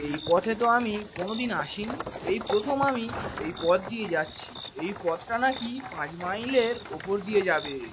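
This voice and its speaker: A man's voice: high voice, animated, moderate pace. A man playing a talkative, proud and obliging village driver in a cartoon, inquisitive and boastful. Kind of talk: animated cartoon dialogue. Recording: noisy, with animal sounds.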